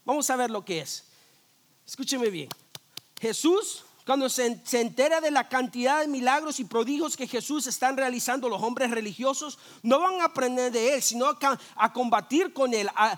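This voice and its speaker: High-voiced man preaching with lively banter. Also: moderate pace. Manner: energetic, conversational preaching with rhetorical questions and jokes; frequent restarts and repeated words. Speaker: pastor. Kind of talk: sermon.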